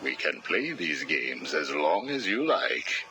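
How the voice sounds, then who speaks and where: Casting: sinister game psychiatrist. Extremely deep, slow, precise, cold, with a hard forceful edge. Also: male voice, big pitch swings, a little hiss or hum, exaggerated voice. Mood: cold authority. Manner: measured, clinical, menacing, precise. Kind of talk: video game voice acting.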